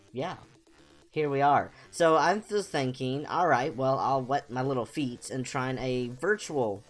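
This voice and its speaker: Young adult man, mid-pitched voice, casual livestream chat, rambling, self-deprecating, music behind. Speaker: livestream host. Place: small home room, indoors.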